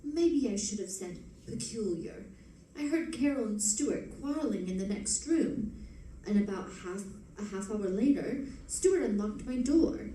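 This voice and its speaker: A woman playing a poised, affectionate detective's wife in an old-time radio drama, cool and gently dry. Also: mid-range voice, animated, measured pace, natural voice. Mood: calm, puzzled. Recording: echoey, distant, noisy stage recording.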